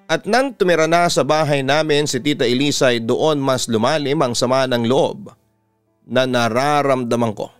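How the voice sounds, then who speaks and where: A man with a Filipino accent narrating a letter as a radio-style story host, measured and sentimental. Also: mid-range voice, smooth, no room sound. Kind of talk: dramatic narration of a listener's letter. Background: instrumental music.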